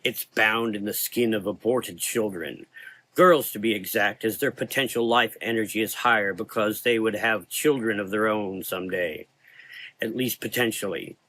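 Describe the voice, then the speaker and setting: A man playing a weary, learned and brooding occult hunter, speaking in a measured, formal, ominous way in a gothic horror audio drama. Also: fairly low pitch, pushed and bright.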